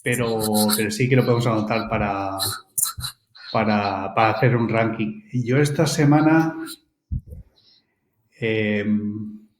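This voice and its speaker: A man's voice: fairly low pitch, takes it slowly, pauses often, slight background noise. A man hosting a podcast who asks questions in a friendly, hesitant way, with many fillers. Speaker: podcast host. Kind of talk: casual interview. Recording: roomy echo.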